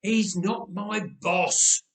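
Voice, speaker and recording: A man's voice: high-pitched, steady tone, very slow, a bit bright, recorded from a distance, heavily acted. A man playing a weary uncle in a story, defensive and given to sighing and muttering. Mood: evasive. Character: weary, evasive uncle, reluctant disciple in debt. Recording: roomy, echoing space.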